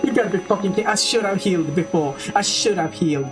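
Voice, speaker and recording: A man's voice: sits high, quick pace. A man with a Norwegian accent chatting casually while streaming a game, laughing often and rambling through explanations. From Norway. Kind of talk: casual gaming chat. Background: game music.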